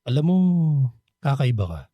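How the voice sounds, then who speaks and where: Mellow, gentle middle-aged Filipino man. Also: medium pitch, big pitch swings, moderate pace, slightly rough, no room sound. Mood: reassuring. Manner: gentle, considerate, conversational. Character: kindly, bashful middle-aged bachelor uncle figure. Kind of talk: Filipino radio drama dialogue.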